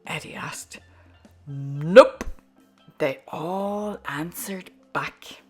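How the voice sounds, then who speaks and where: A woman hosting a storytime, reading a children's book aloud in an expressive, deliberate storytelling style. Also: fairly low pitch, soft background music. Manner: slow, deliberate, animated phrasing.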